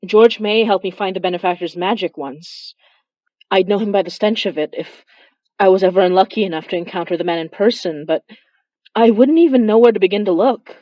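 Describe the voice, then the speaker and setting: A woman playing a weary, affectionate young monster hunter confiding haltingly over a phone call in an audio drama. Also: medium pitch, dark tone, no room sound, natural voice. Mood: grimly determined. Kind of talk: intimate audio drama monologue.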